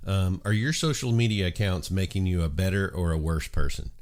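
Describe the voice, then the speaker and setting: Congested man with a very deep voice. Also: fairly quick. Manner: relaxed, rambling, many ums and uhs. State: congested from a cold. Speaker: host. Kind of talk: casual morning chat show.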